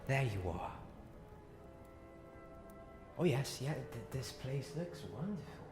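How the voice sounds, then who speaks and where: A man's voice: medium pitch, big pitch swings, music in the background. A man playing a friendly, secretive wizard-school companion in an ASMR roleplay, speaking in a hesitant, confiding way and often dropping to a whisper. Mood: pleased, friendly. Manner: hesitant, halting, hushed and confiding. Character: friendly, secretive Hogwarts companion eager for a ghost party. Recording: clearly noisy ambient background.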